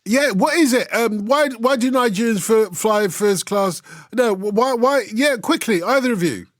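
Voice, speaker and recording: A man's voice: very high voice, expressive, moderate pace, no room sound, studio quality. Teasing male radio phone-in host. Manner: teasing, laughs often. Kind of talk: casual radio chat.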